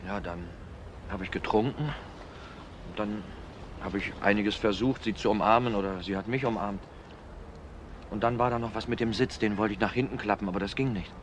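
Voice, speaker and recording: Troubled, halting man, acted out, noisy ambience. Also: on the low side, lightly acted. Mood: halting, subdued. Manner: halting, groping for memories. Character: muddled, troubled younger male alcoholic suspect. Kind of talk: crime radio drama acting.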